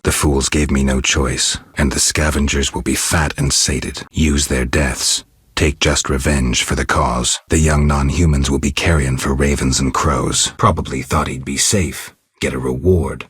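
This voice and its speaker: A man voicing a stoic, world-weary monster hunter in a video game, with a gravelly, husky voice and terse, deadpan delivery. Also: a bass voice, no room sound, studio quality, full character voice. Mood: somber. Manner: terse, deadpan, clipped phrases. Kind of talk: video game monologue, reflective narration.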